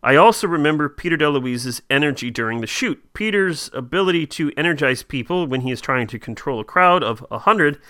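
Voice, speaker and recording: A man co-hosting a podcast, retelling a TV episode in quick casual chat with asides. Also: a little high, lively intonation, sharp tone, dry recording, studio quality. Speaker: podcast co-host.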